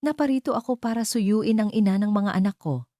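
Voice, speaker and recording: A woman's voice: mid-range voice, a little fast, mellow, dry recording, clean studio sound, voice pushed up, close to an everyday voice. A woman voicing an earnest, pleading male CEO in love, in a romance audio story. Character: earnest, lovestruck young male CEO suitor.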